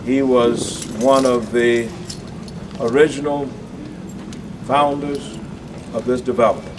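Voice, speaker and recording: A man's voice: mid-range voice, fairly even intonation, slow pace, echoey room, noisy background. A man emceeing a community meeting, introducing speakers and firmly calling the crowd to order. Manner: firm, calls for order over a crowd. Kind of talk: public meeting at an outdoor rally. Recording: distant mic with loud crowd.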